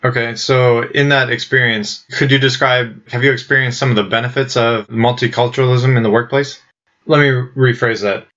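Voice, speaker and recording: A man's voice: medium pitch, steady tone, normal speed, fairly bright tone. A man hosting a podcast, asking guests long, conversational questions. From United States. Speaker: podcast host. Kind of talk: podcast interviewing. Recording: dull lo-fi podcast audio.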